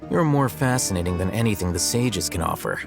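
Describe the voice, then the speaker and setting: Aloof, low-voiced young scholar. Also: male voice, animated, vocal fry, over music. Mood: cool, probing. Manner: measured, formal, dryly probing. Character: aloof, analytical young male scholar, calm and shrewd. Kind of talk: video game cutscene acting.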